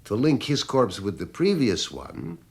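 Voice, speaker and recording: Radio drama villain: dandyish crime lord, slow and gravelly, mock-polite menace, hushed intensity. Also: male voice, mid-range voice, wildly swooping pitch, exaggerated voice. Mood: gloating. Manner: theatrical, mock-courteous, deliberate, dropping into hushed intensity. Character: menacing, dandyish male crime boss, vengeful and courteous. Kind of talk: 1980s radio drama acting.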